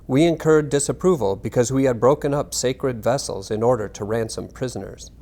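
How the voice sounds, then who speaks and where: A man giving a scripted reading of narration and historical text in a measured, formal manner. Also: mid-range voice, warm tone. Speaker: reader.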